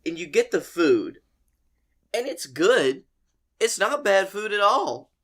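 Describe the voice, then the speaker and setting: A man's voice: high-pitched, sing-song, slow pace, a bit bright. Rambling American man swapping comic stories. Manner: rambling, profane, conversational. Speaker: comedy podcast co-host. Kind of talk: casual comic storytelling chat.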